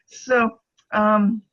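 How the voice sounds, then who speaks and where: A woman facilitator sharing encouragement on a group call, halting and earnest, often restarting her sentences. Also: medium pitch, a bit bright, a little hiss or hum. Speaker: ministry facilitator. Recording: slightly dull lo-fi call audio.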